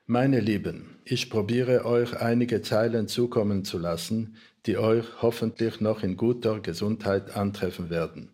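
A man reading a personal letter from a script in a measured, restrained manner. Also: on the low side, fairly even intonation, a little mellow. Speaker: reader of historical letters.

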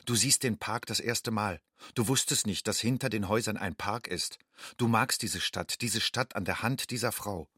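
A man playing a young undocumented student, an anxious outsider, in a measured, introspective radio drama monologue. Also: fairly low pitch, bright, forceful tone, husky, no echo, clean studio sound. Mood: tender, tense. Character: young illegal immigrant student, anxious, inwardly longing outsider.

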